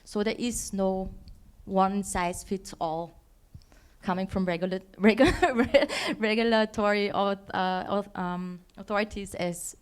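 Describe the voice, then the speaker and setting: A woman's voice: mid-range voice, steady tone, measured pace, clear, resonant tone. A woman from Austria, speaking as a panelist on a conference stage, thoughtful and correcting herself as she searches for words.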